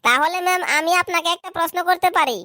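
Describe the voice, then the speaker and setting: A woman's voice: very high voice, fast talker, pushed and bright, dry recording, clean studio sound. A woman voicing a cheeky, eager schoolboy who recites to his teacher in a comedy cartoon.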